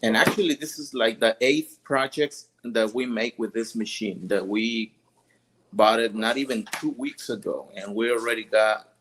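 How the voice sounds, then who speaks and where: A man's voice: medium pitch, moderate pace, noisy background. A man from Mexico with a Mexican Spanish accent, sharing business tips in an easygoing, hesitant way. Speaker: small business owner. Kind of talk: casual tutorial chat.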